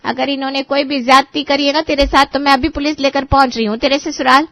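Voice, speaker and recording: A woman's voice: high-pitched, level tone, fast, fairly bright tone, no echo. A woman playing a dramatic, scolding mother. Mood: alarmed. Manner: theatrical, lecturing. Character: bride's middle-aged mother, dramatic and scolding. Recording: dry, slightly muffled studio voice.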